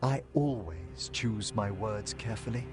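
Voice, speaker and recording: Game role: scholarly necromancer gentleman, formal and earnest, very varied intonation, music underneath. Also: lowish voice, expressive, measured pace. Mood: composed, fascinated. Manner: formal, eloquent, careful wording. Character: refined, earnest scholarly male necromancer professor. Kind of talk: video game dialogue acting. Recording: music behind the voice.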